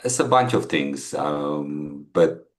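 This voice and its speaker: A middle-aged man with an Ethiopian accent, talking casually in an interview in a halting, reflective, conversational way. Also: very deep voice, lively intonation. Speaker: podcast guest, entrepreneur. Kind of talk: casual interview, telling life story.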